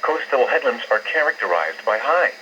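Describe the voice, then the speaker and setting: A computerized American man's voice delivers formal alert bulletins with a bright, forceful edge. The delivery is measured and impersonal, like scripted announcing. It comes over weather radio with a muffled, lo-fi band and faint hiss behind it. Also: mid-range voice, sing-song. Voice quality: synthetic text-to-speech voice. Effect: weather radio broadcast. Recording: narrowband hissy weather radio reception.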